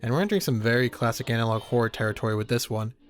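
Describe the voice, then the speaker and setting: A man's voice: medium pitch, rapid-fire. A man giving comedic video essay commentary in a sarcastic, joking, casually profane way. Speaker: YouTube horror reviewer. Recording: music behind the voice. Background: background music.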